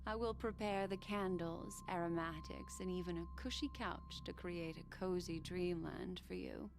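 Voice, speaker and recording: A woman playing an enigmatic, teasing, seductive keeper of memories in a video game, with measured, theatrical and elegant phrasing. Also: on the low side. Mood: soft, teasing. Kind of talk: scripted video game character dialogue. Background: background music.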